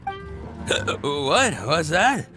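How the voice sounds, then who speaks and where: A man playing a woozy drunk tavern patron in a video game, talking in a slurred, halting, groaning drawl. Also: a little high, sing-song, full character voice. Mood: drunk, queasy. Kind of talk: scripted video game acting. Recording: game music behind the voice. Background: music.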